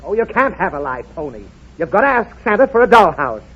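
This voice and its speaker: A man's voice: high-pitched, big pitch swings, brisk, husky, exaggerated voice. A man playing a blustery, flustered, exasperated father in a 1930s radio comedy. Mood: flustered, bossy. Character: exasperated, grumbling father, boyishly excited by toys. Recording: dull lo-fi 1930s radio recording.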